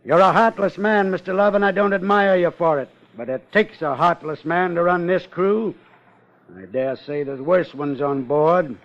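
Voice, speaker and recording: An actor playing a gruff, suspicious whaling captain in a 1950s radio drama, with salty, challenging talk. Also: male voice, high-pitched, moderate pace, natural voice. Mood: stern. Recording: muffled 1950 radio broadcast.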